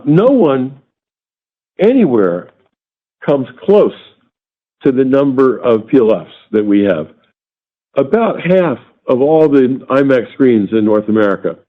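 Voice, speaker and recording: A male executive gives earnings call remarks over a narrow, tinny phone line. His voice sits at an average pitch. He speaks deliberately and emphatically, drawing out important words. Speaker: company executive answering analysts. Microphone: phone or conference line.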